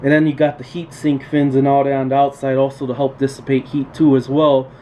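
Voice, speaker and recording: A man's voice: mid-range voice, brisk, low-quality recording. A man giving an informal, unscripted tutorial as a repair technician, plain-spoken and repetitive, with emphatic practical advice. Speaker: radio repair technician hosting a tool tutorial. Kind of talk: informal unscripted instructional talk. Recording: steady background noise, room ambience.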